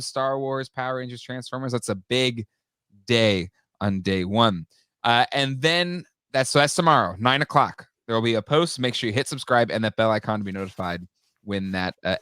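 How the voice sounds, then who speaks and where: A man's voice: mid-range voice, moderate pace, a bit bright, smooth, dry recording. Upbeat male co-host, a bit stuffy-nosed, casual rambling delivery in a dry, close room. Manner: chatty, upbeat, many fillers and restarts. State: slightly nasal from a cold. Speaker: co-host of a live toy show. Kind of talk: casual live-stream chat.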